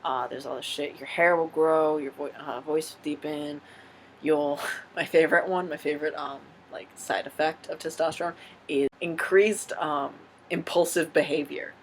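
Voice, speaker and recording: A man's voice: a little high, fairly even intonation, moderate pace, a bit bright, slight background noise. A young man casually answering viewer questions on his vlog, frank and rambling, swearing freely. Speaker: vlogger.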